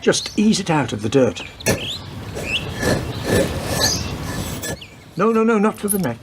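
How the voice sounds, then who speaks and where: An actor playing a weary, wry senior police detective in a radio play, speaking in a dry, deliberate way. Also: male voice, sits high, big pitch swings, very noisy. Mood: focused.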